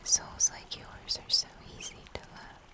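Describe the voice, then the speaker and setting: A young woman playing a gentle, melancholic moth-human creature of the woods, speaking in a slow, tender, breathy whisper for fantasy ASMR. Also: close to an everyday voice. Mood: tender, calm. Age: young adult. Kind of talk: cinematic fantasy ASMR roleplay.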